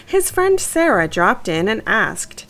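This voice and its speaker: A woman's voice: mid-range voice, sing-song, takes it slowly, fairly bright tone. A woman narrating a children's storybook, reading scripted text aloud.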